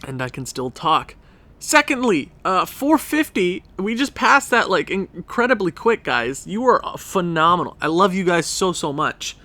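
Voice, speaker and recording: A man's voice: high voice, big pitch swings, moderate pace, sharp tone. A young man with a stuffed-up, congested voice, chatting casually and rambling as he narrates a hockey management game for his channel. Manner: chatty, rambling, self-correcting. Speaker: gaming YouTuber. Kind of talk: casual gameplay commentary.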